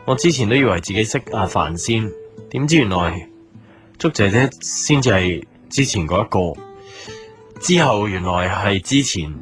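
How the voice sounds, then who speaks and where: A man's voice: lowish voice, animated, normal speed, natural voice. A man acting a patient, restrained big brother in an audio drama, speaking in a subdued, reflective way. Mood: subdued, resigned. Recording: soft guitar music behind.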